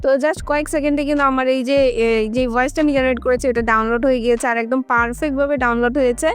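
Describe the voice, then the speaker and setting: A woman's voice: high voice, fairly even intonation, rapid-fire, clean tone, faint music behind. A woman giving a casual how-to tutorial, talking directly to her viewers step by step. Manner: instructional, addressing viewers directly. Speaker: tutorial host.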